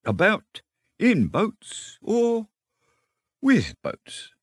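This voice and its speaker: A man's voice: low voice, very animated, normal speed, fairly bright tone, no room sound, exaggerated voice. A man voicing a genial, dreamy water rat from a storybook, enthusiastic and easygoing about life on the river. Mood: carefree, enthusiastic. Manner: enthusiastic, effusive. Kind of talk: storybook character reading.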